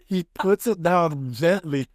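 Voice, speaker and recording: A man hosting a casual podcast, telling stories in an animated, slangy way. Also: a little high, slow, no room sound, clean studio sound. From Dominican background. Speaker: podcast host. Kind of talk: casual chat, storytelling.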